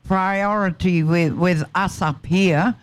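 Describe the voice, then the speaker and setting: A man with a New Zealand accent hosting a radio interview in an unhurried, conversational way. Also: high-pitched, fairly even intonation, smooth, dry recording. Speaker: radio host.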